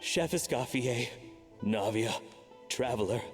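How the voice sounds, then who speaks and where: A man's voice: medium pitch, slow pace, close to an everyday voice. A man playing an earnest, apologetic father and chef in a video game, with a hesitant manner. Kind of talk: game voice acting. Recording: long reverb, game music behind. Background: game music.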